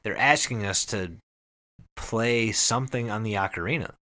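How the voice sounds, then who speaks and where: A man hosting a podcast, telling a story casually to friends in an excited, conversational way with some swearing. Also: fairly low pitch, moderate pace. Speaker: podcast host.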